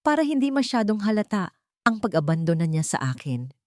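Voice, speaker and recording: A woman's voice: medium pitch, very animated, a little fast, a little mellow, dry recording, studio quality, pitched above the performer's own voice, natural voice. A woman voicing a bitter young man in a romance story, cold, sarcastic and insistent. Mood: cold sarcasm.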